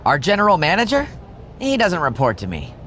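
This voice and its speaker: A man's voice: high voice, huge pitch swings, fairly quick, fairly bright tone, slight background noise, exaggerated voice. An actor playing a sly, sarcastic male assistant in a video game. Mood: needling. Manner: smug, sarcastic.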